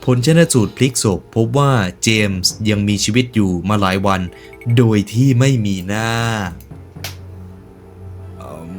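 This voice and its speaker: A man hosting a reaction video, commenting casually in slangy speech with joking asides and stretches of narration. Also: medium pitch. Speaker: YouTube reaction host.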